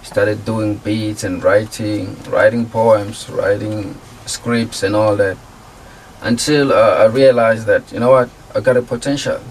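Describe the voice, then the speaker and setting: A man from Africa, a producer and artist, talking casually in a radio interview with a relaxed, slangy manner. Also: on the low side, measured pace, distant mic, a little hiss or hum, poor audio. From South Africa. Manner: relaxed, laid-back slang, laughs easily. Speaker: guest music producer and artist.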